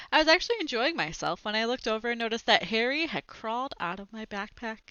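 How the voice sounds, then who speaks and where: Animated young woman reading a children's book aloud. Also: a little high, no echo, muffled recording. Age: young adult. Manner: animated, clearly phrased storytelling. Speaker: read-aloud storyteller. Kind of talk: expressive children's book read-aloud with brief asides. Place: small home room with bookshelves, indoors. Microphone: headset microphone.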